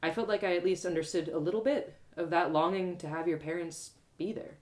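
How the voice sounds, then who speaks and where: A woman reading a first-person fantasy story aloud as a live storyteller, expressive and with dramatic pauses. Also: on the low side.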